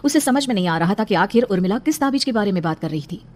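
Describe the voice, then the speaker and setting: Brisk horror story narrator. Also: medium pitch, lively intonation, darkish tone.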